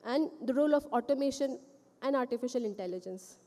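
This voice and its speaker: High, mellow woman speaking in a hall. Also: fast. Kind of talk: conference presentation.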